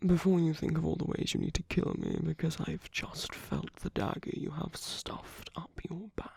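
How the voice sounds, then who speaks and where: A man playing a suave, teasing villain in an intimate ASMR roleplay, murmuring softly and often slipping into whispers. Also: on the high side, wildly swooping pitch, moderate pace, no room sound, full character voice. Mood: teasing, menacing. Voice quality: soft, breathy. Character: suave, teasing male villain at a royal masquerade.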